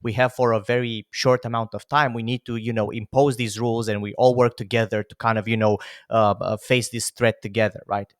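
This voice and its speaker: A man's voice: mid-range voice, level tone, a little fast, few pauses, no room sound, studio quality. A man in his thirties from Romania, a podcast host talking casually with many fillers. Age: 30s. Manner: chatty, lots of "like" and "you know" fillers. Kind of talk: casual conversation.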